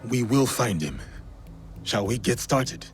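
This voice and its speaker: An actor playing a stoic, loyal, foreign-born samurai warrior in a video game, speaking tersely and solemnly. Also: male voice, medium pitch, lively intonation, takes it slowly. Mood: steady. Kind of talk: video game cutscene acting. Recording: loud music behind the voice. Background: music.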